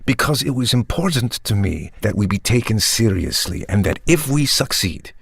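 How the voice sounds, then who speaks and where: Husky, creaky vampire entrepreneur. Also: male voice, on the low side, sing-song, dry recording, full character voice. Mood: earnest, persuasive. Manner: measured, articulate, earnest rationalizing. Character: polished, self-justifying vampire tech entrepreneur. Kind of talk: theatrical monologue in the manner of a startup pitch.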